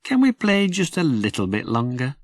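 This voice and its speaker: A man's voice: mid-range voice, wildly swooping pitch, normal speed, no echo, studio quality. A man voicing a puzzled little boy rag doll who wheedles and questions in a children's storybook. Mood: puzzled, pleading.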